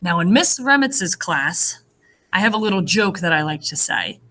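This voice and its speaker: A woman speaking as a first-grade teacher, reading and explaining to young children in an animated, encouraging way. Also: on the low side, normal speed. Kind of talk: read-aloud and lesson addressed to young children.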